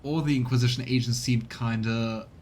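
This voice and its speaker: A man's voice: fairly even intonation, moderate pace, a little mellow. A man giving casual commentary as he plays a game on stream. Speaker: let's play streamer voicing the game's characters. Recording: rumbly background noise.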